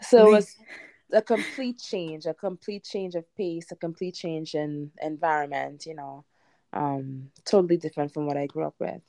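Woman, Trinidadian accent, thirties, lower-pitched, close dry sound, casual podcast guest chat. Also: moderate pace, dark tone, dry recording, rough recording. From Trinidad and Tobago. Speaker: podcast guest, home gardener.

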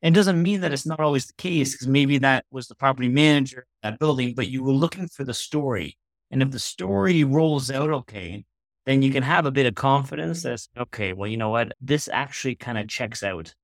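A man's voice: medium pitch, fairly quick, no echo. A man in his thirties, a real estate investor, talking in a loose, rambling conversational way as an interview guest. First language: Hungarian. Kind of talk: casual interview chat.

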